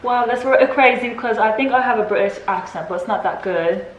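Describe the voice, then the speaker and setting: A woman's voice: on the high side, brisk. A young woman, a guest athlete, chatting casually and giggling easily as she answers questions. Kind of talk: casual Q&A chat. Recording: echoey with faint noise.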